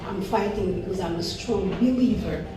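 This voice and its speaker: A middle-aged woman from Haiti with a Haitian accent, speaking English as a second language, giving an earnest, deliberate forum speech. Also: mid-range voice, over music. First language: not English. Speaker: panelist, activist. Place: large echoey indoor event room. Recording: very echoey room, distant, noisy.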